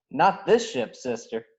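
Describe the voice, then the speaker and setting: A woman's voice: very deep voice, very animated, slow, darkish tone, full character voice. A woman playing a cocky, self-interested smuggler pilot in a script read, breezy and teasing, often trailing off. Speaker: actor in a table read. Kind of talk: virtual script table read.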